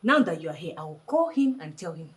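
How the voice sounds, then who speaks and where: A woman's voice: deep voice, big pitch swings, quick pace, crowd noise. A woman playing a respectful young wife in a film drama, with a polite, deferential manner. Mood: polite, welcoming. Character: respectful young wife receiving her mother-in-law.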